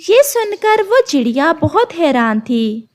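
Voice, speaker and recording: A woman's voice: sits high, sing-song, unhurried, darkish tone. A woman narrating a scripted children's cartoon story.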